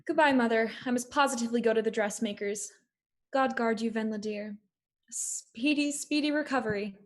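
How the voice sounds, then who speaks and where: A woman's voice: a little high, normal speed, lightly acted. Kindly, chatty older sister, played by a woman. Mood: solicitous. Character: kindly, busy married sister visiting her patient. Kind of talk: dramatic reading of a 19th-century play.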